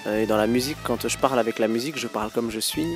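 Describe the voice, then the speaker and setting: A man's voice: mid-range voice, steady tone, rapid-fire, over music. A man in his thirties giving thoughtful interview answers as a guest musician, hesitating often with fillers and restarts.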